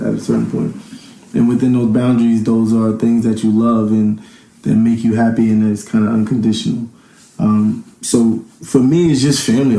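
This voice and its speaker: Man, thirties, mellow relaxed tone, casual interview guest, rambling train of thought, roomy echoing recording. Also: mid-range voice. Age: 30s. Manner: rambling, thinking aloud. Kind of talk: casual chat. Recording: echoey room.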